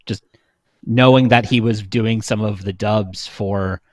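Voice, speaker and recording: A man's voice: lowish voice, steady tone, takes it slowly, no echo, clean studio sound. A young man talking casually as a podcast panelist. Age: young adult. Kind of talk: casual chat.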